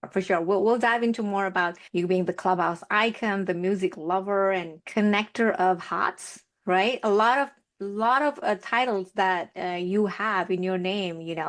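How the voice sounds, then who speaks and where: A woman hosting a podcast, asking questions in a casual, conversational interview style. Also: medium pitch.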